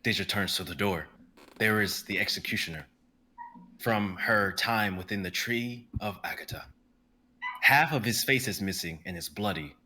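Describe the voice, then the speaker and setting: A young man narrating the stage directions of a dramatic reading in a steady, descriptive way. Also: lowish voice, moderate pace, rough recording. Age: young adult.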